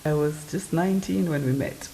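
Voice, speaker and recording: A woman in her sixties, raised in the United Kingdom, recalling her marriage in a plain, steady way. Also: low-pitched, moderate pace, creaky. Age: 60s. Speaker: interviewee, wife. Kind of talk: personal recollection. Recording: echoey room with faint background hum.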